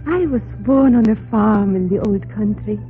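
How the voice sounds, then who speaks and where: A woman's voice: medium pitch, moderate pace, soft background music, natural voice. An actress playing a frightened but proud young runaway servant girl in an old-time radio drama, earnest and dramatic. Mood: tender. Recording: narrow, noisy old radio recording.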